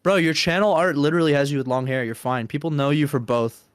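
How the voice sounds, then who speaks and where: A man's voice: fairly high pitch, steady tone, quick pace, dry recording, studio quality. A man in his twenties on a video call, teasing and joking at the others. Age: 20s. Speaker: co-host. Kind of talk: casual chat on a call.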